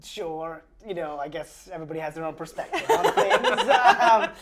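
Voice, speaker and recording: A woman player improvising her character in a tabletop roleplaying game, chatting casually in a wry, joking, halting way. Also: low voice, huge pitch swings, over a noisy crowd.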